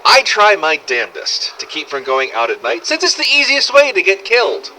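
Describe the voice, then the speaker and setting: A bright, forceful male survivor of a zombie apocalypse, acted out by a man, keeps a wry audio diary in game-drama style. His delivery swings widely in pitch, with sardonic emphasis and a weary, sweary edge. Some noise sits behind the voice. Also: sing-song, moderate pace, heavily acted, rough recording. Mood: wry recounting. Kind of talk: dramatised first-person audio diary for a game fan project.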